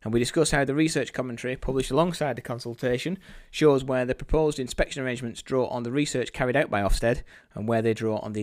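A man hosting an education podcast, introducing a guest and asking questions in an upbeat, conversational way. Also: mid-range voice. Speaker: podcast host. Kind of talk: podcast interview.

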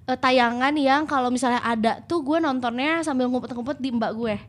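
A woman's voice: high-pitched, normal speed. A young woman with co-hosting a podcast, chatting casually and often interrupting herself. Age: young adult. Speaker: podcast co-host. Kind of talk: casual chat with friends.

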